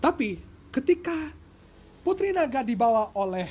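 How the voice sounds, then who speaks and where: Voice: high voice, very animated, moderate pace, a little mellow, dull audio. A storyteller tells a folk tale informally and dramatically, with playful joking asides and self-corrections. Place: at home. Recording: noisy home recording with ambient sound.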